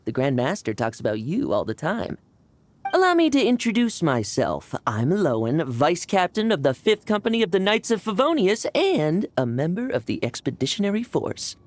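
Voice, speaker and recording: A woman voicing an energetic, cocky young male knight in a video game. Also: fairly low pitch, moderate pace. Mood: friendly. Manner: energetic, cocky, laughs easily.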